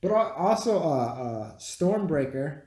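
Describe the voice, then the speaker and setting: A man's voice: on the high side, big pitch swings, slow pace, darkish tone. A man co-hosting an amateur movie review in casual back-and-forth, stubbornly repeating his points. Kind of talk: casual argumentative film chat between friends. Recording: echoey room with slight noise.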